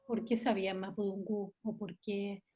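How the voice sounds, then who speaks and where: A woman host asking interview questions in a gentle, somewhat hesitant way. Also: mid-range voice, level tone, normal speed. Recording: dull, lo-fi sound.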